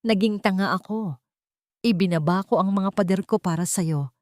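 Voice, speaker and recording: A woman's voice: mid-range voice, normal speed, a little mellow, no room sound, clean studio sound, natural voice. A woman with a Filipino accent playing a wounded, commanding businessman in an audiobook, accusing and cutting. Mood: hurt rage. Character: wounded, bitter, commanding male business executive. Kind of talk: audiobook character acting.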